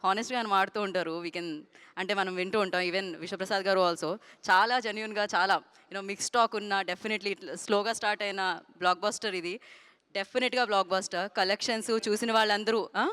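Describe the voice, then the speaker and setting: A woman's voice: mid-range voice, fairly even intonation, brisk, a bit bright, clean tone. A woman with a Telugu accent hosting an event informally, switching often between Telugu and English. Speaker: event host.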